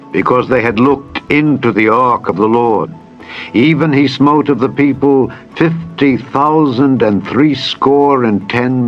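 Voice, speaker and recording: A man narrating scripture in a dramatized style, with a solemn, measured delivery. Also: on the low side, highs cut off. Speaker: Bible narrator. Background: music.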